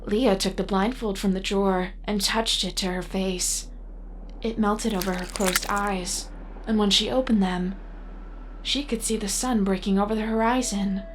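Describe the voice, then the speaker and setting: A woman narrating a scripted audio drama in a measured, descriptive reading. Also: mid-range voice, low-quality recording.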